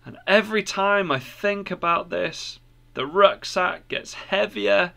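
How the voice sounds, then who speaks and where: A man's voice: sits high, takes it slowly, gravelly, bright, forceful tone, voice pushed up, exaggerated voice. A man voicing an anguished, burdened seeker of eternal life in a storybook tale, pleading and repeating himself. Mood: despairing. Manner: pleading, repetitive.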